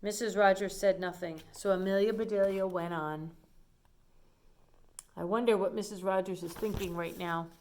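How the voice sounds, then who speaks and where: A woman reads a children's picture book aloud in an expressive storytelling style. She steps out of the text with simple asides that explain what is going on. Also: medium pitch, moderate pace.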